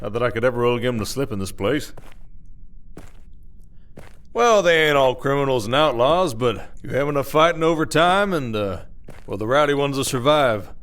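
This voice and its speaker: A man's voice: medium pitch, expressive, moderate pace, fairly bright tone, lightly acted. Man playing a wry drawled werewolf sheriff. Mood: wry, explaining. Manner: folksy, dry-humored, rambling explanations. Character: weary, wry, protective male werewolf sheriff of an Old West town. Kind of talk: ASMR audio roleplay acting.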